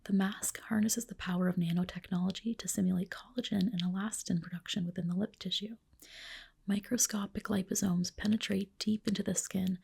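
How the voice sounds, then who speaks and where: Whispering woman with heavy soft creak. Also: mid-range voice. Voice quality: whispered, breathy, soft-spoken. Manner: gentle, reassuring, attentive clinician talk. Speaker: ASMR performer playing a medi-spa clinician. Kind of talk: ASMR roleplay, personal attention.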